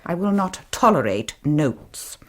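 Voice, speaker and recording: An English actress playing a strict, pompous Victorian governess in a radio drama, commanding and formal. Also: female voice, low voice, big pitch swings, slow pace, exaggerated voice. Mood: stern, scolding. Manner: commanding, crisply formal, theatrical scolding. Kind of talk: 1990s radio drama acting.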